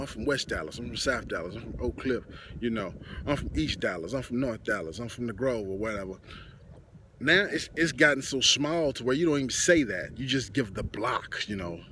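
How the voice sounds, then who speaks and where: A middle-aged man with a Texan accent spins a loose, conversational story, packed with "you know" and side remarks. He speaks at a middling pitch for a man. The recording is made outdoors, with clear wind and noise behind him. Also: lively intonation, normal speed. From Texas. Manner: loose and conversational, many fillers and asides. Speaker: host, musician. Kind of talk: casual storytelling monologue.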